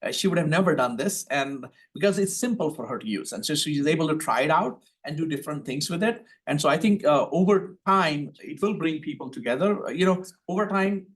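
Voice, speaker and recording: A man's voice: fairly high pitch, animated, a little fast, hardly any pauses, slightly rough. An older man with an Indian accent, a panel expert answering audience questions earnestly, often restarting his sentences. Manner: earnest, repeats words when starting, personal anecdotes.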